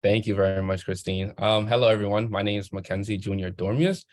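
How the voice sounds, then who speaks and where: A man's voice: lowish voice, a little fast, a little mellow, no room sound, clean studio sound. A young man from Haiti, a student panelist, introducing himself earnestly with many ums on a video call. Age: young adult.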